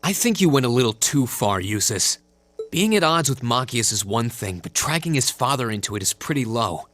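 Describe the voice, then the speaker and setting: A calm young man, a student hero from a video game, speaks at a middling pitch. He sounds earnest, fair-minded and level-headed. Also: normal speed, slight background noise. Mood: gently reproving.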